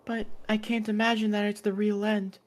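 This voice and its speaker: A woman voicing a soft-spoken, reassuring young classmate in a visual novel, speaking gently and a little hesitantly. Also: mid-range voice, little pitch movement, moderate pace, lightly acted. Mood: thoughtful. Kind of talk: acted dialogue reading from a visual novel.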